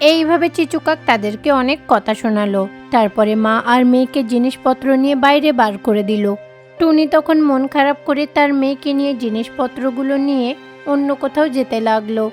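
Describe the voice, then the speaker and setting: A woman narrator with a high voice tells a children's cartoon tale from a script. Music plays softly behind the storytelling. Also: a little fast.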